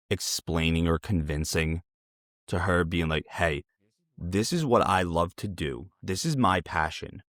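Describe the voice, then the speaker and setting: A man's voice: sits low, dry recording, clean studio sound. An American man hosting a casual interview, talking in a chatty, informal and wordy way. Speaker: interview host.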